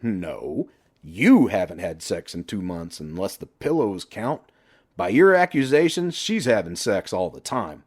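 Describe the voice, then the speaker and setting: A man's voice: low voice, very animated, measured pace, full character voice. A man voicing a brash middle-aged best friend who lectures and needles bluntly in an audiobook. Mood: exasperated. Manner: blunt, emphatic, sweary banter.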